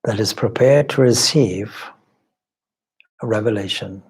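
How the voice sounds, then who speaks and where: Man, very slow pace, husky low-pitched voice, meditation teacher, deliberate pauses, formal contemplative phrasing. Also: a little mellow. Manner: measured, deliberate, with long pauses between short phrases. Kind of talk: guided meditation, slow spoken instruction.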